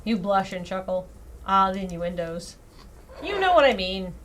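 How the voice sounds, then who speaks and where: Expressive woman streamer reading aloud, noisy background. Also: mid-range voice, moderate pace. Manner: expressive reading broken by wry, self-deprecating asides. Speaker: streamer reading out a dating sim visual novel. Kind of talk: live game stream, narration read aloud with casual asides. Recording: clearly audible background noise.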